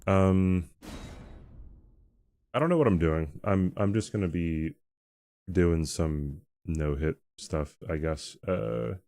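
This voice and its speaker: A young man streaming a game who chats casually with his audience, often chuckling and stopping to restart his sentences. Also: sits low. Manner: chuckling, halting and relaxed.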